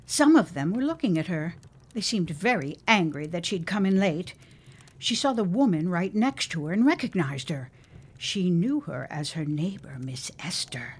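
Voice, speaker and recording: A woman spinning a spooky tale in an audio drama. Her voice is husky and a little raspy, swinging widely in pitch as she builds suspense with grave, theatrical absorption. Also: big pitch swings, normal speed. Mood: suspenseful.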